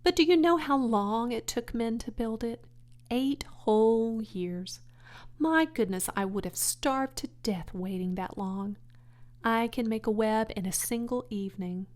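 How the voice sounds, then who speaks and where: A woman's voice: on the high side, unhurried, a little mellow, lightly acted. A woman voicing a wise, kindly female spider in a children's storybook, matter-of-fact and articulate. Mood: opinionated.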